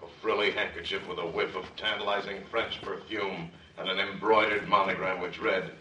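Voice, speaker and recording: An actor playing a brooding movie private detective, narrating in portentous 1950s radio noir style. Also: male voice, sits low, steady tone, moderate pace, sharp tone, slight background noise. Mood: brooding suspense. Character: hard-boiled movie private detective narrating his case. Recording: echoey, distant old radio recording.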